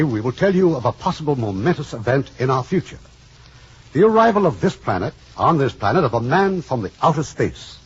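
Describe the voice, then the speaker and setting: A very raspy man introduces a radio drama as its host, formal and deliberate, with lively pitch. The sound is that of a dull 1950s radio recording. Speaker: host and producer.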